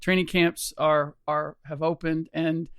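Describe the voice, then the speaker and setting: A middle-aged man hosts a podcast with a hoarse, slightly rough voice that sits a bit high. He rambles through stories with restarts and small chuckles, recorded dry on a close studio mic. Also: flat delivery, measured pace, fairly bright tone, no room sound. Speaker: podcast host. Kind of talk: casual podcast chat.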